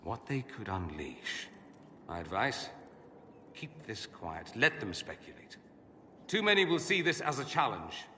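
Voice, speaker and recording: An actor playing a witty, self-assured mage scholar in a video game, with an arch, sardonic, eloquent way of talking. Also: male voice, lowish voice, very animated, measured pace, sharp tone, a little hiss or hum, heavily acted. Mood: concerned, urgent. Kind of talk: video game cutscene acting. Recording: long hall reverb.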